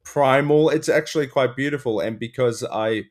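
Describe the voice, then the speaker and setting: A man's voice: mid-range voice, moderate pace. An Australian man in casual comedic chat as a podcast guest, riffing in a dry, rambling way.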